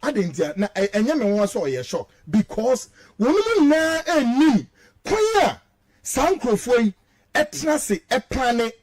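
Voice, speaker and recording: A man's voice: very high voice, sing-song, measured pace, darkish tone. A man with a Ghanaian accent giving heated, emphatic political commentary, mixing Twi and English and repeating phrases for stress.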